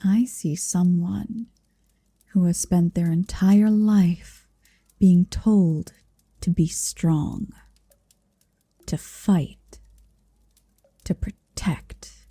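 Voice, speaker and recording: A woman voicing a possessive, seductive motherly dragon in an intimate ASMR roleplay, breathy and whispery, speaking slowly and deliberately close to the microphone. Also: fairly low pitch, dark tone, dry recording, exaggerated voice. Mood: tender, teasing. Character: possessive, seductive motherly female dragon hoarding her captive. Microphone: close microphone, dry.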